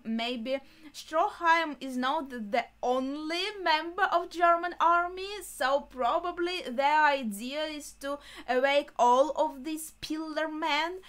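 A woman in her twenties giving casual reaction commentary, thinking aloud in a halting way in a small bedroom. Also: high-pitched, a bit bright. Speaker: reaction video host.

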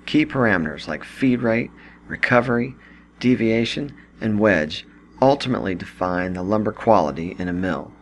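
A man reading a scripted instructional product narration in a measured, explanatory manner. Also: lowish voice, expressive, slight background noise, poor audio.

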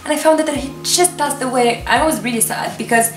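A woman in her twenties, a native Arabic speaker, casually recommending books to camera in an expressive, gushing, emphatic manner. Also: high voice, a little fast, over music. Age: 20s. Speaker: book reviewer and vlogger. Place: small furnished room with books, indoors. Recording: echoey room, distant camera microphone.

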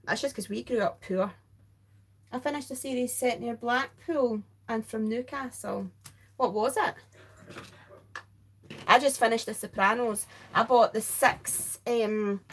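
A woman's voice: fairly high pitch, fairly bright tone. A tired middle-aged Scottish woman chatting in a rambling way while crafting live and answering viewers. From Scotland. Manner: chatty, rambling, self-interrupting. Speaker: crafting livestream host. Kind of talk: casual chat while crafting, reading viewer comments. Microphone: overhead camera over craft desk.

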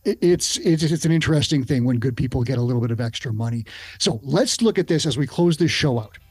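Middle-aged man, podcast host, measured, conversational, dry close mic. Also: medium pitch, expressive, darkish tone, slightly rough, no room sound. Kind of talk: casual podcast interview. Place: home studio with shelves. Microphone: studio podcast mic with headphones.

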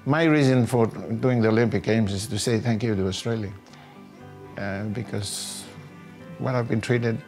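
An older man from a small island, telling his personal story in an interview in a reflective, halting way. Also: on the low side, darkish tone. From small Pacific island north of Fiji. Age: older, about 60. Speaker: housing tenant giving a testimonial. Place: small living room at home, indoors. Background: background music.

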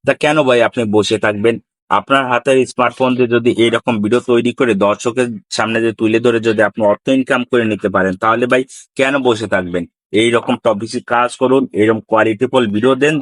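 A man's voice: mid-range voice, dry recording. A man hosts an informal how-to tutorial, repeating himself often and addressing viewers as brother. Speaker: tutorial host.

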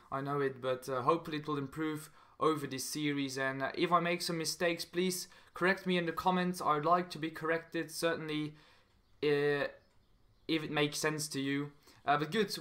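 A young man from Belgium with a Belgian accent, hosting a gaming channel with casual commentary in halting, non-native English that often repeats phrases. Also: fairly high pitch, sharp tone.

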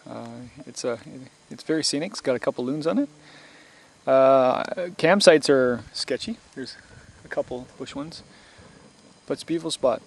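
A middle-aged man with a Canadian accent chatting casually to camera outdoors, telling his trip story in a halting, rambling way. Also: mid-range voice, vocal fry. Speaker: canoe trip host. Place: outdoors in woods and by lakes. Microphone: camera mic at close range.

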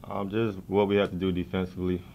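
A young man, a college basketball player, answering press questions after a game in a soft-spoken, filler-heavy way. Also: low-pitched, flat delivery, fast talker, lo-fi sound, a little hiss or hum. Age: young adult. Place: press conference room. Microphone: table mics in front of him.

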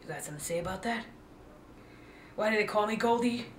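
A woman's voice: mid-range voice, fast talker, noisy background, natural voice. Woman playing a surly dog, echoey room. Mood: deadpan, defiant. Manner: deadpan, defiant. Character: gruff, foul-mouthed, cigar-smoking female dog. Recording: echoey room, distant mic.

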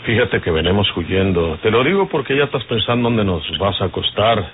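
An actor playing a wary outlaw on the run from police, with a Mexican accent, in the style of an old radio serial. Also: male voice, lowish voice, lively intonation, fairly quick, natural voice. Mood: tense, explaining. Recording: noisy, tinny old radio serial recording.

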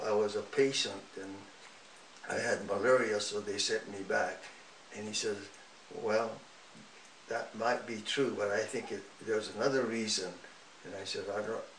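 An older man speaks in a low, even voice, pausing often as he recounts his memories. He is recorded from a distance in a roomy living room with a faint hiss behind him. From United States. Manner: measured, halting storytelling with frequent pauses. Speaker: interviewee. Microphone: far camera.

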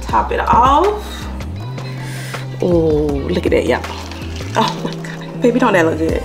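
A woman's voice: lowish voice, sing-song, slow pace. A woman narrating a home cooking video in a chatty, casual way, often addressing viewers as y'all. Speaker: cooking vlog host. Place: home kitchen. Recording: music under the voice. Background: background music.

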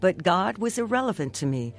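A woman's voice: medium pitch, big pitch swings, brisk. A young American woman playing a married stroke survivor who tells her story earnestly and reflectively in a radio drama. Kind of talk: dramatized first-person testimony, radio drama narration.

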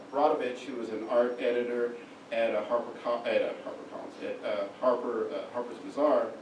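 A man with an Oklahoma accent giving a slide lecture in a darkened hall, halting with many fillers and restarts. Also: mid-range voice. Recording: echoey room from far off, noisy.

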